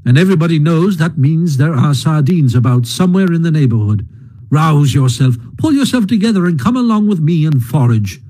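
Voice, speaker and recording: A man voicing a cheery, bustling male water rat in a storybook reading, brisk and jolly. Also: a little high, expressive, dark tone, rough recording. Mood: reproachful.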